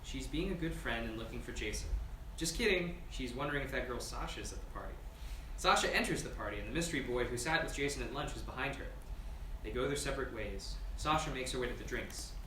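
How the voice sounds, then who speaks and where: A man's voice: mid-range voice, animated, fast talker, pushed and bright. A man reading scene directions as scripted narration at a screenplay table read. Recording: echoey room, distant mic, noisy.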